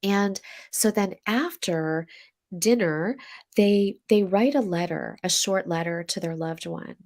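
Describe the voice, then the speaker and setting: A woman's voice: mid-range voice, takes it slowly, a little mellow. An American woman with a stuffy nose from a cold, chatting as an interview guest and telling a long story full of fillers and restarts. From USA. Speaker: podcast guest, coach.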